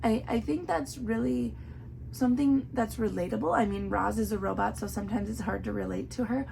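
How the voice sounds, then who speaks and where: A young woman with a hoarse, worn-out voice, chatting casually through a book review with lots of filler words. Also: fairly high pitch, fairly quick, warm tone, faint music behind, poor audio. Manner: chatty, many "like" fillers, earnest.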